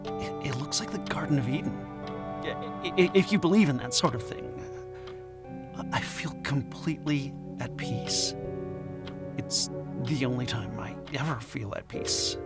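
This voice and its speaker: A man acting a troubled middle-aged anthropology professor in an audio drama, guarded and halting. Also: mid-range voice, loud music behind the voice, close to an everyday voice. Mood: wistful, halting. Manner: hesitant, halting, defensive. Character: troubled forty-year-old male anthropologist professor, guarded, haunted.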